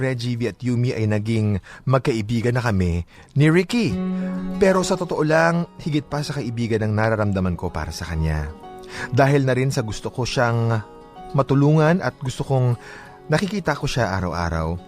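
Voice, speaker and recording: A man narrating a letter for a radio drama in a scripted, dramatic style. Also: medium pitch. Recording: music playing under the voice.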